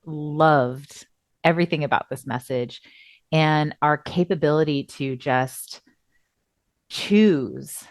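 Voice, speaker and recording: A middle-aged woman with a low voice co-hosts a casual podcast, her voice still slightly worn from a recent cough. She tells stories expressively, acting out what people said. The sound is dry and close, with no room around it. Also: slow, dry recording. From Southern California. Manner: expressive storyteller, acts out quotes. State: recovering voice, coughing. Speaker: podcast co-host. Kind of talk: casual chat.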